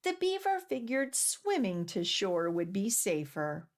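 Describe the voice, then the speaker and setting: A woman hosting a children's storytime, reading aloud and leading rhymes with clear, deliberate phrasing for young listeners. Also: medium pitch, sing-song. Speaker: children's library storytime host.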